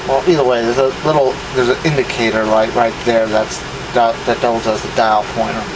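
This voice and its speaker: A man's voice: level tone, a little fast. A man hosting a casual, unscripted gadget demonstration, talking in an offhand, rambling way. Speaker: video host showing vintage electronics. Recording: clear background hum and rumble noise.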